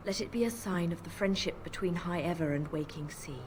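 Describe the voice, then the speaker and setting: An actress playing a proud, formal noblewoman in a fantasy video game, commanding and measured. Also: on the low side, darkish tone, slight background noise, close to an everyday voice. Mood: gracious.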